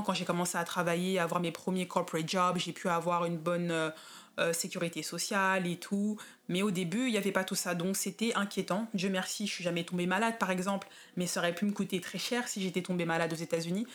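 Woman, thirties, from France, low voice, casual interview guest, hesitant fillers. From France, Paris suburbs. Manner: thoughtful, many fillers and restarts.

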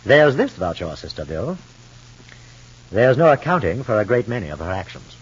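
A man's voice: fairly low pitch, big pitch swings, moderate pace, breathy edge, exaggerated voice. A man playing a stiff, superior husband and father in a 1950s radio soap opera, speaking formally and pompously. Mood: indignant, superior. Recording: muffled old radio recording.

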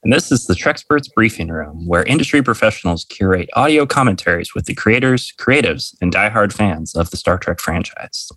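Deep-voiced man hosting a podcast. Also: animated, moderate pace, few pauses, a bit bright, husky, no echo, low-quality recording. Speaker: podcast host. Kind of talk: scripted intro then casual interview.

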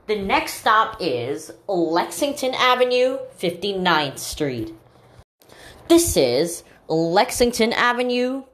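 A woman reading scripted subway announcements in a formal, measured way, setting listed items apart with pauses. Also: medium pitch, fairly bright tone.

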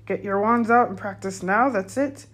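A woman voicing a big, gruff male gamekeeper with rustic, commanding speech for a storybook reading. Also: mid-range voice, moderate pace, a bit bright, voice pushed up, lightly acted. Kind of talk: audiobook character voice.

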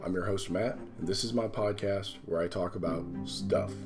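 A man's voice: sits low, moderate pace, music in the background. A man hosting his own podcast, talking loosely and rambling a bit, stuffy from a cold. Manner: loose, rambling, self-correcting. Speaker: podcast host. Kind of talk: casual podcast talk.

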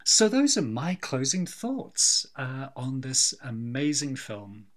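A man with a New Zealand accent, co-hosting a film podcast in a wry, measured, slightly theatrical way. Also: medium pitch, big pitch swings, fairly bright tone. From Scotland. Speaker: podcast co-host. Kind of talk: casual film discussion.

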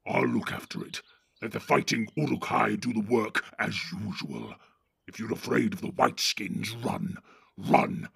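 A man voicing a brutal, boastful orc warlord in an audiobook, barking contemptuous orders in a gravelly voice. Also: lowish voice, expressive, unhurried, fairly bright tone, no echo, clean studio sound, full character voice. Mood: contemptuous commanding. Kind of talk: audiobook reading.

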